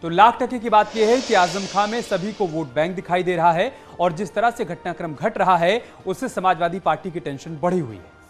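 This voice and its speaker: A man's voice: high voice, fairly quick, soft background music. A man presenting a news bulletin as an anchor.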